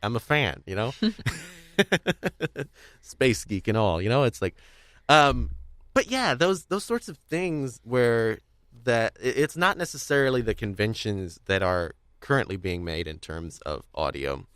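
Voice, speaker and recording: A man's voice: fairly low pitch, expressive, measured pace, fairly bright tone, smooth, no room sound, clean studio sound. A man hosting a podcast in casual conversation, speaking in a hesitant, rambling, stop-start way. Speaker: podcast host. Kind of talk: casual discussion of audio drama.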